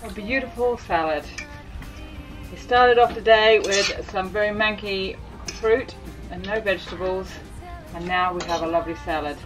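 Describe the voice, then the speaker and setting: A woman's voice: mid-range voice, normal speed, music in the background, rough recording. A woman in her forties with a New Zealand accent, narrating a sailing vlog with dry, wry humour. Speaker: sailing vlogger.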